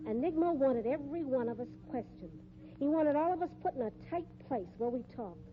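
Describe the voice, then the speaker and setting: Brassy high-voiced sideshow woman. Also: animated, brisk. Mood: explaining, insistent. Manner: brassy, scornful, slangy. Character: bold, shrewd, defiant circus sideshow woman. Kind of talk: 1950s radio mystery acting. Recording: muffled, noisy old radio recording.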